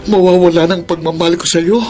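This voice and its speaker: An actor playing an earnest, passionate politician in love in a Filipino radio drama, speaking intensely with a Filipino accent. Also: male voice, high voice, fairly even intonation, fairly quick, lightly acted. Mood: hurt, angry. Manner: intense, dramatic, switches between Tagalog and English. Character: lovestruck male politician, earnest and passionate, wounded by betrayal. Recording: dramatic music behind the voice.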